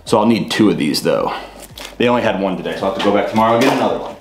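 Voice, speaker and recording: A man's voice: on the low side, expressive, rapid-fire. Rambling young man in an echoey kitchen. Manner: loose and rambling, many fillers like "uh" and "like". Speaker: fitness vlogger. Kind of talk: casual grocery haul chat to camera. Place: home kitchen, indoors. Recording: roomy kitchen with clear background noise.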